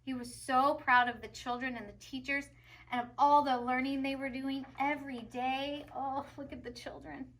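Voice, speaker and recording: A woman reading a picture book aloud as a teacher would to children, expressive and emphatic, with asides to young listeners. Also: high voice, normal speed, rough recording.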